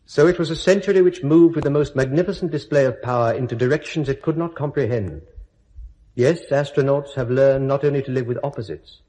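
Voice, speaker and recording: A man performing as the narrator of a radio space drama, measured, literary and portentous. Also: medium pitch, mellow, close to an everyday voice. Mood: grave.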